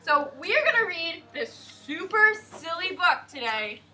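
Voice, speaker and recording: A woman teacher leading a class of young children, slow and emphatic, asking the class questions. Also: extremely high pitch, sing-song, bright, forceful tone. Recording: distant classroom mic, faint noise.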